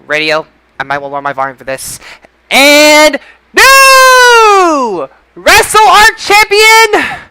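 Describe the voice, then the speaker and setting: A man's voice: extremely high pitch, wildly swooping pitch, slow. A man hosting a casual podcast results show, talking in an announcer-like way and repeating things for effect. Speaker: podcast host.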